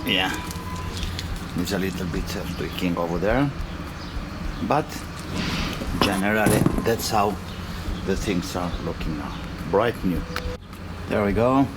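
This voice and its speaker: Deeper-voiced non-native English man, DIY how-to. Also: unhurried, pauses often, very noisy. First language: not English. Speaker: DIY tutorial host. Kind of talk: casual hands-on how-to narration. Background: music.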